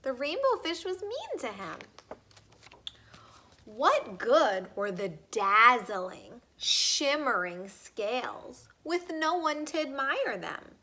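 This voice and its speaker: Female read-aloud narrator for children: bright, fairly high voice, slow expressive storytelling. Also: a little hiss or hum.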